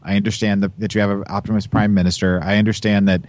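A man's voice: on the low side, little pitch movement, quick pace, darkish tone. A man hosting a casual podcast, chatty and teasing. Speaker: podcast host. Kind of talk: casual chat.

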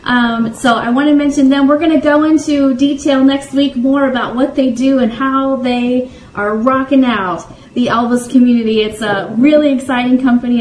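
A woman with a fairly high voice presents show announcements in a chatty, enthusiastic way, speaking straight to her viewers. She sounds a little far from the mic in a clearly echoey room, with faint noise behind her. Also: darkish tone. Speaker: radio network show host. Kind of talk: upbeat livestream announcements and sponsor reads. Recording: roomy echo, distant mic, faint noise.

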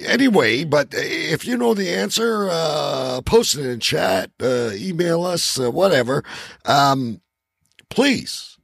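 A man co-hosting a podcast in casual chat, rambling and halting with theatrical emphasis, in a gravelly, husky voice. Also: fairly high pitch, expressive, fairly bright tone, no room sound, studio quality. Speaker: podcast co-host.

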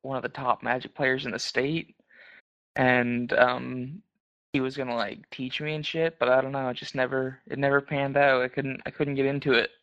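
Gravelly man speaking low. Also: flat delivery, moderate pace, no echo, highs cut off. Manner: talking quietly to keep his voice down. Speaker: podcast co-host. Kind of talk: casual chat. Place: room at home.

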